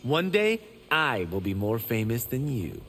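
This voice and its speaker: An actor playing a cocky, boastful young martial-arts warrior in a video game. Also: male voice, mid-range voice, very animated, unhurried, fairly bright tone, big-room sound, slight background noise.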